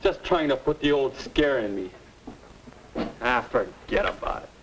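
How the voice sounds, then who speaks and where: A young man with an American accent playing a sullen, defensive high school boy with no aim, grumbling in a 1950 instructional film. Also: expressive, measured pace, close to an everyday voice. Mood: brooding, frustrated. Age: young adult. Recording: noisy, clicking 1950 film soundtrack.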